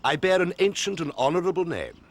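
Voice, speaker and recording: Pompous, high-voiced English lord. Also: male voice, lively intonation, quick pace. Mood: stiffly proud. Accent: upper-class English. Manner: formal, pompous. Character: stiff, pompous English aristocrat. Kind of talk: radio drama acting.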